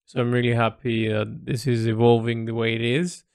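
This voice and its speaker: A man speaks with a noticeably gravelly, creaky voice at an average pitch, recorded very dry. He delivers a reflective artist's audio log without a script, hesitating and repeating phrases as he works out his thoughts. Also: little pitch movement, moderate pace, darkish tone, dry recording. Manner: hesitant, often repeating words and phrases as he thinks aloud. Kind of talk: reflective unscripted monologue.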